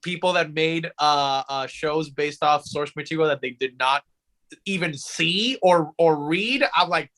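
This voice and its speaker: A man's voice: on the high side, measured pace, a bit bright. A man co-hosting a podcast, thinking aloud in informal, slangy casual chat. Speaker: podcast co-host.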